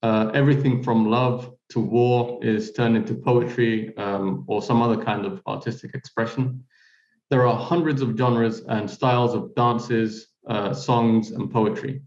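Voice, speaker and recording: A man from Somalia hosting an interview over a video call, speaking in a measured, courteous way with frequent pauses. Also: medium pitch, steady tone, darkish tone. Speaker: host. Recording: roomy, dull lo-fi audio.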